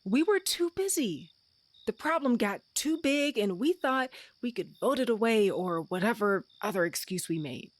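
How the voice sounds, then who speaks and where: A woman's voice: a little high, lively intonation, normal speed. A woman playing an earnest, frustrated wife and mother in an audio drama, pleading and heartfelt.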